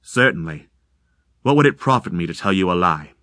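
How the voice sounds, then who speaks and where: A man reading aloud, voicing a mysterious unseen stranger in the fog who speaks slowly and formally in a deep, insubstantial voice. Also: animated, sharp tone, dry recording, clean studio sound. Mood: calm, menacing. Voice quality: deep and insubstantial, slow, measured.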